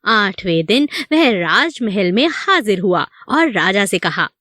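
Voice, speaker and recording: A woman narrator reading a children's story. Her delivery is unhurried with lots of melodic movement, and the recording is dry. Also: medium pitch, sing-song, sharp tone, dry recording.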